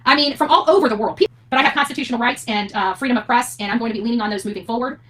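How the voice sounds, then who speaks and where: Fast-talking, defiant woman on a rant. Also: on the high side, a bit bright. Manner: defiant, indignant, emphatic. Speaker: online commentator covering court cases. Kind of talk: unscripted rant to audience.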